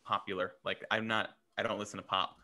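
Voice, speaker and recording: A man's voice: on the low side, flat delivery, brisk, pushed and bright. A man co-hosting a casual podcast, chiming in with wry remarks. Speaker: podcast co-host. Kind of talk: casual group banter.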